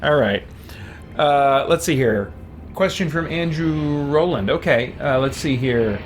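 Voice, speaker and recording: A man's voice: fairly high pitch, animated, a little slow. Man streaming a game, background noise behind him. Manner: casual, thinking aloud. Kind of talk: live stream chat and reading game text aloud.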